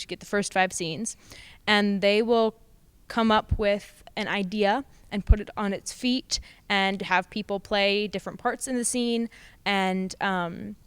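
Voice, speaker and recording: A young woman speaks as a student actor being interviewed. Her voice is clear and smooth at a medium pitch, explanatory and informal, recorded dry. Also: no echo. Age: young adult.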